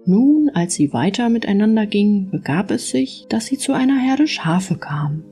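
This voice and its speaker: A woman reading a scripted fairy-tale audiobook as narrator, with expressive storytelling. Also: mid-range voice, normal speed, faint music behind.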